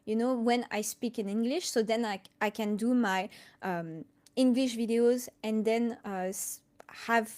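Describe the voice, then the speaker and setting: A woman from France with a French accent, answering questions casually on a live stream and haltingly thinking aloud as she goes. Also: on the high side, a little mellow. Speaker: colorist hosting a live Q&A.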